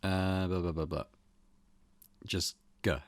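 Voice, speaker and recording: A man's voice: deep voice, steady tone, slow, no echo. A man hosting a let's play, reading game text aloud and commenting in an informal, offhand way. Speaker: gaming host.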